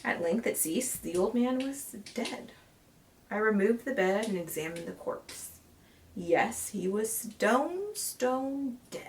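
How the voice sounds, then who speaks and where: A woman reading a gothic horror story aloud in a theatrical way, moving between hushed tones and dramatic swells. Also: a little high, expressive, takes it slowly. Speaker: amateur reader of classic horror tales. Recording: distant mic, clearly noisy home recording.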